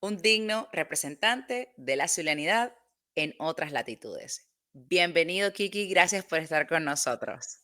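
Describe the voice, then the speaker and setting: Venezuelan woman podcast host, bright voice, brisk prompting questions. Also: fairly low pitch, expressive. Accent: Maracaibo Venezuelan. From Maracaibo, Venezuela. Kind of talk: podcast interviewing.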